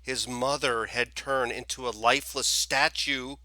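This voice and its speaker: A man reading a children's story aloud as expressive scripted narration. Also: medium pitch, measured pace, no echo. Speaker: storybook reader, teacher.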